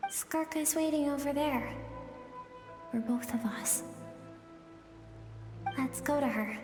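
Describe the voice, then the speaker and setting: Wistful, echoing female spirit, slow and solemn. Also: a little high. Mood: gentle, wistful. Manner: solemn, lyrical storytelling, measured phrasing. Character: wistful spirit of a warrior woman's suppressed emotions. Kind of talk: dramatic game cutscene acting. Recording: echoey reverberant hall, music underneath.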